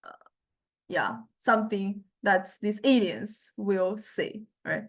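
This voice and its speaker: A woman lecturer giving an informal, unscripted software demonstration, with halting phrasing and frequent check-in questions. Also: medium pitch, big pitch swings, breathy edge.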